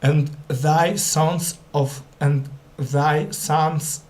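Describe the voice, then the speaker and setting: A male language learner reads scripture aloud at a slow pace. English is not his native language. He moves haltingly, often stumbling and going back over words. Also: fairly high pitch, fairly even intonation, darkish tone. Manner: hesitant, stumbling, repeats words.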